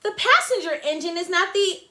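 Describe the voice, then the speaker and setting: A woman's voice: very high voice, animated, normal speed, sharp tone, pitched above the performer's own voice, full character voice. A woman voicing a cheerful little male toy clown, eager and upbeat.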